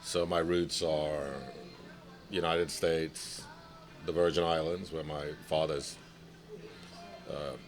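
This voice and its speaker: A chef from Nigeria calmly recounting his career in a casual interview. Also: a bass voice, slow, pauses often, faint music behind.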